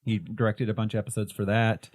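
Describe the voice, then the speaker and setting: A man's voice: lowish voice, little pitch movement, fast, darkish tone, no echo, studio quality. A man co-hosting a casual movie podcast, listing a director's films with frequent ums and small laughs. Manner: rattles off lists with many ums and laughs. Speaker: podcast co-host.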